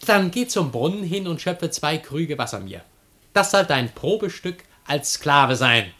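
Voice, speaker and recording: Casting: an impudent household slave for radio comedy, voice on the high side, dryly taunting. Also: male voice, animated, unhurried, bright, forceful tone. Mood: bossy. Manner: dry, taunting, declamatory. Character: Karion, cheeky, mocking male household slave. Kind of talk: German radio play acting of classical comedy.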